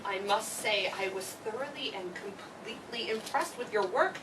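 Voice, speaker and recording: A woman playing an effusive, gushing schoolteacher with emphatic, deliberate phrasing in an amateur stage play reading. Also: mid-range voice, lightly acted. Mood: impressed. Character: effusive, gushing schoolteacher praising her students. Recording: distant mic, clearly noisy live room.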